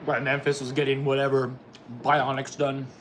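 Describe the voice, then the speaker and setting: A man's voice: medium pitch, completely flat delivery, moderate pace, fairly bright tone. A man in his forties hosting a livestream, chatting casually with viewers in a rambling, teasing way, with noise behind him. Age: 40s. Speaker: livestream host. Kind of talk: casual chat with live viewers.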